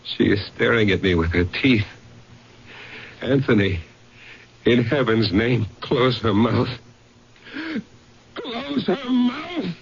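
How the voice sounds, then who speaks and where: An actor playing a horrified, unhinged man, halting and overwrought, in a radio horror play. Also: mid-range voice, very animated, creaky, heavily acted. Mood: frantic horror. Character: horrified, unhinged man before a dead woman. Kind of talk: old-time radio horror acting. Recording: dull, noisy old radio recording.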